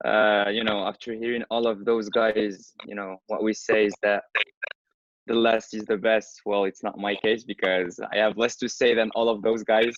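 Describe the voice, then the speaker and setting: A young man from Morocco speaking as a guest on a radio show, modest and relaxed. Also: on the low side, moderate pace, highs cut off, slight background noise. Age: young adult. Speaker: guest, recent graduate. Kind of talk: casual chat.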